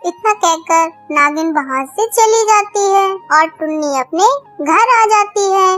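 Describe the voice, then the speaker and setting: Female narrator, very high childlike pitch, scripted children's storytelling. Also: expressive, slow pace, a bit bright, soft background music.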